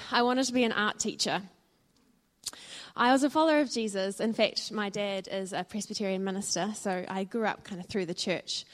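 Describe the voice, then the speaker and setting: Earnest New Zealand woman giving testimony. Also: medium pitch. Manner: earnest and reflective, with frequent fillers. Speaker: church speaker sharing her story. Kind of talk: personal testimony talk. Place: church.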